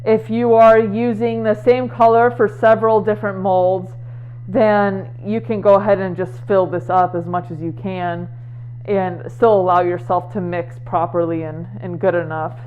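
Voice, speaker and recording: A woman talking through a craft tutorial in a relaxed, chatty way with lots of ums, her voice nasal and muffled from a face mask. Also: mid-range voice, normal speed. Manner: relaxed and chatty, many ums, light laughs. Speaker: candle maker showing a craft. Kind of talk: casual tutorial talk. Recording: tinny phone-like sound.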